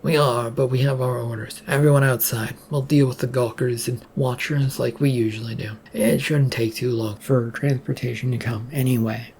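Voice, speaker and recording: Gravelly, bossy male trooper acted by a narrator. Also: mid-range voice, steady tone, normal speed, few pauses, a little mellow, slight background noise, natural voice. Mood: commanding. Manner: commanding, announcing to crowds. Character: bossy, exasperated male state trooper taking charge.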